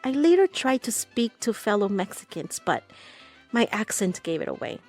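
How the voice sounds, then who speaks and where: A woman's voice: medium pitch, very animated, normal speed, darkish tone, soft background music. A woman from the United States narrating a documentary about belonging, in an earnest, reflective way.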